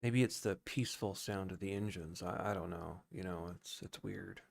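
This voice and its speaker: A man's voice: sits low, normal speed, creaky. A man streaming a game with casual, rambling, conversational commentary.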